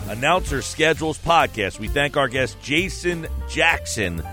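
A man's voice: a little high, lively intonation, measured pace, sharp tone, faint music behind. A man hosting a sports podcast in a polished sports talk radio style, as if wrapping up a show.

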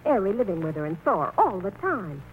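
An actress playing a sensible, reassuring middle-aged aunt in a vintage radio play, folksy and given to repeating herself. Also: female voice, medium pitch, big pitch swings, fairly quick, narrow, tinny audio, natural voice. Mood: soothing. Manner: reassuring, folksy, flustered repetitions. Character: soothing, sensible, chatty small-town aunt. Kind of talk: 1940s radio play acting. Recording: old radio broadcast with faint noise.

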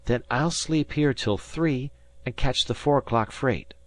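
A man's voice: mid-range voice, unhurried, voice pushed up, natural voice. A man voicing an earnest, confident teenage schooner boy with plain, colloquial speech in a storybook reading.

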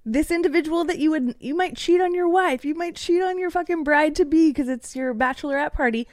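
A woman's voice: sits high, brisk, no room sound, clean studio sound. A young woman from East Tennessee, a podcast guest, ranting heatedly and swearing freely in casual conversation. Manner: heated, profanity-laced rants.

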